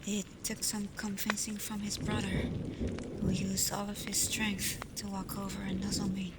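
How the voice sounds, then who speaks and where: A woman voicing a caring, protective female alpha werewolf in an intimate ASMR roleplay, speaking softly, often near a whisper. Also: mid-range voice, monotone, normal speed, heavy background noise, lightly acted. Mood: tender, wistful. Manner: soft, breathy, often near a whisper, soothing. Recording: loud storm ambience over the voice. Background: thunderstorm and rain ambience.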